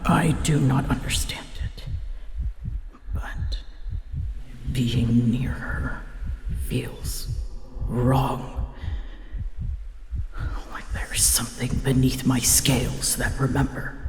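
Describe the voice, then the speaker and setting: A woman voicing a prickly, wounded female dragon in a whispered ASMR roleplay, speaking breathily in halting, solemn, formal phrases. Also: extremely low pitch, steady tone, a little hiss or hum. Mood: uneasy, dread. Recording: echoey, long reverb tail.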